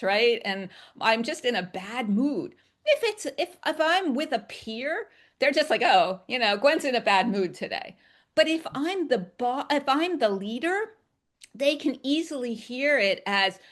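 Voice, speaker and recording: Higher-pitched woman coach, emphatic and informal. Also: fairly bright tone. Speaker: executive communication coach. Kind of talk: conversational explanation with hypothetical examples.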